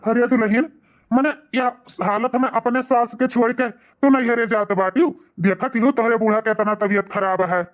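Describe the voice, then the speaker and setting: A man's voice: extremely high pitch, steady tone, normal speed. A man voicing a grumbling but concerned old village husband in a cartoon comedy, scolding and questioning, with an eastern Uttar Pradesh accent. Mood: worried. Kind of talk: cartoon comedy dialogue in rural dialect.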